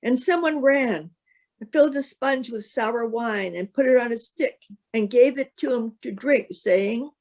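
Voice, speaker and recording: A woman's voice: fairly high pitch, unhurried, a little mellow. A woman reading a gospel passage aloud as scripted narration. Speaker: scripture reader.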